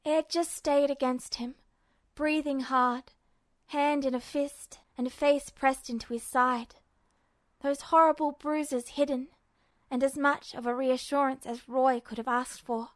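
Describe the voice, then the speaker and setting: A woman reading fiction aloud as an expressive, scripted audiobook narration. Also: high-pitched, a little slow, dry recording. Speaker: fan fiction audiobook reader.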